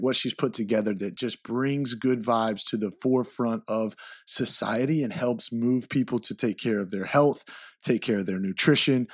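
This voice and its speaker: A man's voice: mid-range voice, no room sound, studio quality. Pumped-up man hosting a podcast. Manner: upbeat, hyped shout-outs. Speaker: podcast host. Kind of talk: solo podcast intro.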